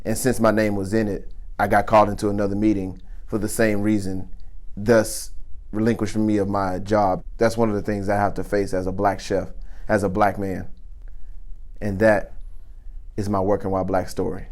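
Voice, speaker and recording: A man who works as a chef, with a naturally deep voice, telling his story earnestly and deliberately in an interview. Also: level tone, a little mellow. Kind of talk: interview testimony.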